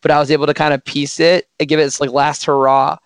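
A man with a slightly hoarse voice, chatting casually on a podcast with many restarts and fillers. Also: a little high, flat delivery, fast, no echo.

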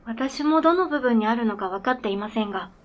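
A high-voiced, earnest snowmobile that has learned to talk , played by a woman. It speaks in formal, polite sentences with a matter-of-fact manner, as in radio drama dialogue. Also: expressive, brisk, muffled recording, lightly acted. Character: earnest, polite talking snowmobile with its own identity.

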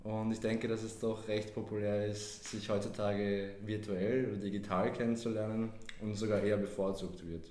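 A man's voice: on the low side, little pitch movement, normal speed, hardly any pauses, warm tone, roomy sound, slight background noise. A man in his twenties, a podcast guest, answering thoughtfully. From Vienna, Austria. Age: 20s. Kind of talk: casual podcast chat. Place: podcast studio.

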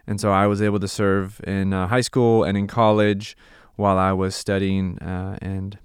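A man in his thirties from California, a missionary telling his story in a relaxed podcast conversation. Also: low voice, moderate pace, clean tone, no echo, studio quality. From California, USA. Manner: earnest, reflective storytelling. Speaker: missionary, ministry partner.

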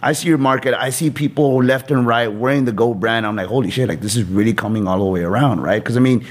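A man's voice: mid-range voice, quick pace. A man in his thirties hosting a podcast interview in a casual, motivational style, with informal, rambling talk and some swearing. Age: 30s. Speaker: podcast host.

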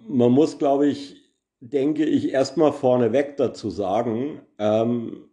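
A man's voice: medium pitch, warm tone. A man hosting a solo podcast, explaining a news topic carefully with many hesitations and filler sounds. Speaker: podcast host.

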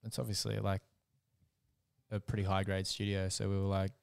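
Laid-back Australian man, low dry voice. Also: level tone, normal speed, vocal fry, dry recording. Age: 30s. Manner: laid-back, swears freely, laughs often. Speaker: band member, guest. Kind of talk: casual podcast chat.

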